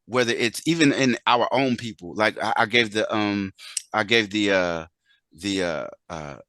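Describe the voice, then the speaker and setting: A man with a lowish voice, recorded close and dry, hosts a casual interview. He searches for words, starting and restarting his questions before landing them. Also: sharp tone, no echo. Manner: halting, searches for words, rephrases repeatedly. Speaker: interview host.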